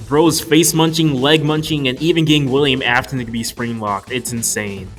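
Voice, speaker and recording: A man's voice: medium pitch, steady tone, moderate pace, a bit bright. Young man reviewing over background music. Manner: joking, casually profane. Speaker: video reviewer. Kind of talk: casual scripted movie review commentary. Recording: music playing behind the voice.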